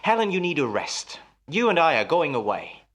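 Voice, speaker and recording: A smooth radio-melodrama husband played by a male actor, with a very lively, swooping delivery. He soothes and coaxes in a patronizing way, with quiet menace under the concern. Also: a little high, moderate pace. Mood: coaxing, quietly menacing. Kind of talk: old-time radio melodrama acting.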